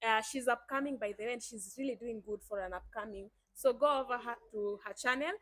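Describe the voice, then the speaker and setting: A woman's voice: a little high, brisk, fairly bright tone, dry recording. Chatty Kenyan woman hosting a channel. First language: Swahili. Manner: chatty, enthusiastic, addresses viewers directly. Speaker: YouTube host. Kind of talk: casual chat.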